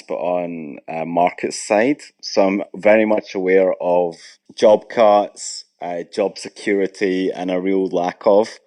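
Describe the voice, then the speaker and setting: A Scottish man in his thirties, speaking as an interviewed guest in a measured, thoughtful way with pauses. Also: low-pitched, darkish tone, dry recording. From Scotland, Ayrshire. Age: 30s. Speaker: guest property investor. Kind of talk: recorded interview answers.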